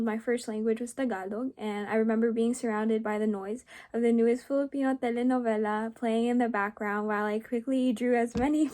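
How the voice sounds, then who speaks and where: Teen girl, high school student, reads her essay aloud, slightly high-pitched, hesitant with "um"s and light laughs. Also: a little mellow. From United States. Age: teens. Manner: hesitant, with fillers and small laughs. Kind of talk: reading a personal essay aloud.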